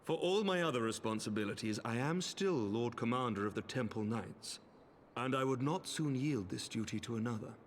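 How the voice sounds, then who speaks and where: Actor as a noble, measured knight commander. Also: male voice, medium pitch, expressive, a little hiss or hum, natural voice. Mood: gracious, earnest. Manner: formal, measured, eloquent. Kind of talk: scripted video game cutscene acting. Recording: music behind the voice.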